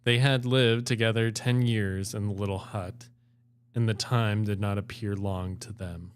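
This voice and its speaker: A man's voice: on the low side, steady tone, measured pace, smooth. A man reading fairy tales aloud as an amateur co-host, moving between storybook narration and casual chat.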